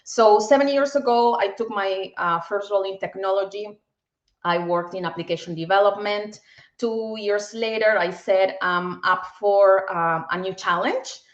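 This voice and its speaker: A woman's voice: mid-range voice, unhurried, reverberant, highs cut off. A woman in her forties from Peru with a Peruvian Spanish accent, a guest speaking upbeat in a casual video interview and often repeating words as she goes. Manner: upbeat, repeats words while finding them. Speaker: guest, bank tech executive.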